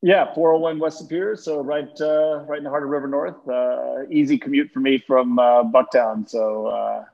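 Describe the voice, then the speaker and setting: A man in his forties who grew up speaking Turkish and English, talking in a relaxed, chatty way with many fillers on a video call from his office. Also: medium pitch, normal speed, gravelly, few pauses. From born in London, raised in Turkey and Texas. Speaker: guest, fund manager. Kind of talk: casual video-call interview. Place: office with high windows, indoors. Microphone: webcam on a video call. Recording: dull lo-fi video call audio.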